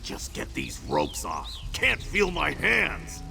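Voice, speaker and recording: Gravelly, lively, wry ex-prisoner for game dialogue. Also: male voice, on the high side, takes it slowly, music in the background, exaggerated voice. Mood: determined. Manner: dry, joking, gruff. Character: wry freed male prisoner, tough adventurer.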